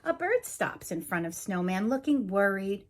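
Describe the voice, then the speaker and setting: A woman's voice: mid-range voice, very animated, moderate pace. Woman, mid-range voice, children's storytime host, casual welcome, picture-book read-aloud.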